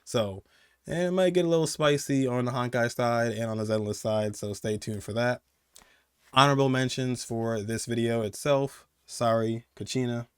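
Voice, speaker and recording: A man hosting a gaming countdown video with casual, excited commentary in an informal, rambling and emphatic way. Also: medium pitch, moderate pace, clean tone, dry recording, studio quality.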